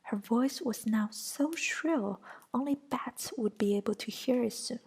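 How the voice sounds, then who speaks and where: Woman, narrator, soft whisper, slow pace, higher voice. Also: husky. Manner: soft unvoiced whisper throughout. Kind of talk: whispered audiobook reading.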